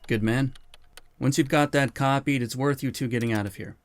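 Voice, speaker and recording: A man playing an assured, authoritative FBI field chief in an audio drama, with a brisk, collegial manner. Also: mid-range voice, steady tone, lightly acted. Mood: confident, collegial.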